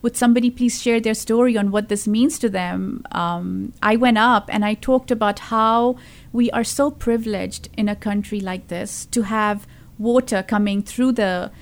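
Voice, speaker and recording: A woman's voice: medium pitch, fairly even intonation, moderate pace. A woman in her thirties from Zambia, a podcast guest telling her story in casual talk, earnest, with many fillers and restarts. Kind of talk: casual conversation.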